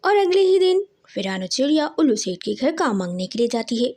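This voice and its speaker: A woman narrating a scripted children's cartoon story in an expressive, dramatic storytelling manner. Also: high voice, brisk.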